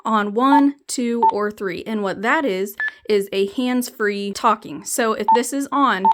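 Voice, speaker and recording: A woman's voice: mid-range voice, slow, very noisy. A woman reviewing a product, explaining its features plainly, step by step. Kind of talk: explanatory product demo narration.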